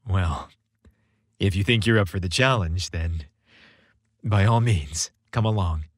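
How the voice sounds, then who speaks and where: A young boyfriend in a romantic audio story, acted by a man. He speaks low and husky, choosing his words hesitantly, with affectionate reassurance, in a dry recording. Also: a little slow, no room sound. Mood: reassuring.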